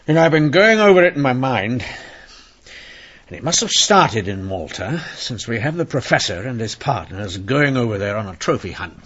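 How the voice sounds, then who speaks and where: An actor playing a brisk, dogged Victorian police inspector in an old-time radio mystery, deliberate and formally courteous. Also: male voice, medium pitch, sing-song, fairly bright tone. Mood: brooding, wry. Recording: muffled radio drama recording.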